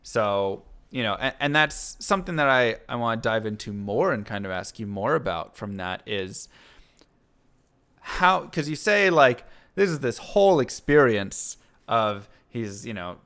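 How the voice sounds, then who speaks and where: A young man hosting a podcast in a relaxed, thoughtful way, stacking up several questions before he lets his guest answer. His voice is smooth and resonant, at an ordinary middle pitch for a man. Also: measured pace. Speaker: podcast host. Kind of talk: casual podcast conversation.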